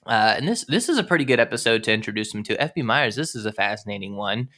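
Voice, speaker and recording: A man's voice: lowish voice, lively intonation, fast talker, sharp tone. A man co-hosting a podcast in casual chat, rambling and rephrasing as he goes. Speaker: podcast co-host.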